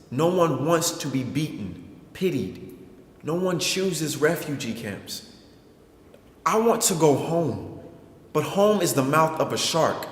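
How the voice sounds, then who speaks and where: A young man performing a dramatic spoken-word stage monologue with emphatic, deliberate phrasing and pauses. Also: fairly high pitch. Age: young adult. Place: theater performance space. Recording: echoey hall with long reverb.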